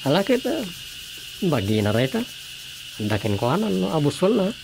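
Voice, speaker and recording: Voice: medium pitch, very animated, moderate pace, gravelly. An actor with an Ilocano accent playing a concerned, gossipy village acquaintance in a radio drama. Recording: faint background noise.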